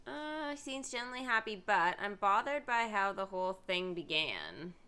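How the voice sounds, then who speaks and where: Bright, animated woman streaming a game. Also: mid-range voice, normal speed, clean tone. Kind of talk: casual live reaction commentary. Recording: faint game music behind.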